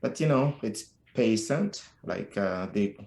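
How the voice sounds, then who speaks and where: A middle-aged Haitian-accented man speaks slowly and haltingly, often restarting his sentences. His voice sits fairly low. The sound carries a noticeable room echo. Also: expressive, vocal fry, a little mellow. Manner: slow, halting, many restarts and fillers. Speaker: podcast guest. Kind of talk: personal life story in conversation.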